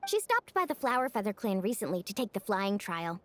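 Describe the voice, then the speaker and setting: A woman's voice: medium pitch, very animated, brisk, close to an everyday voice. A woman playing a friendly, eager young adventurer in a video game, upbeat, casual and curious.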